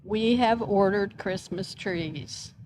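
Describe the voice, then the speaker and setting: A woman gives an upbeat, businesslike announcement in a mid-pitched voice. It echoes through a large hall with a long reverb. Clear background noise sits under her voice. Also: takes it slowly, a little mellow. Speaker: church member making an announcement. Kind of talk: spoken church announcement. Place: large church sanctuary.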